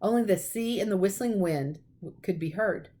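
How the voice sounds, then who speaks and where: A woman's voice: mid-range voice, moderate pace, mellow. Woman reading a story aloud. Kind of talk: expressive children's story narration.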